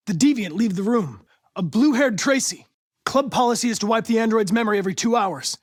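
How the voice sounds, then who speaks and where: A young male android detective from a video game, with an American accent and a notably high voice. He speaks in precise, measured, formal phrases. He comes across as polite and analytical, with an earnest determination underneath. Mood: pressing.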